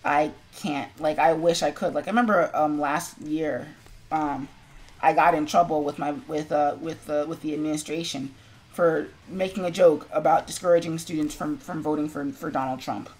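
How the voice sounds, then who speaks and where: A woman co-hosting a live stream in casual chat, expressive and quick to react with interjections. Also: sits low, poor audio. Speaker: stream co-host.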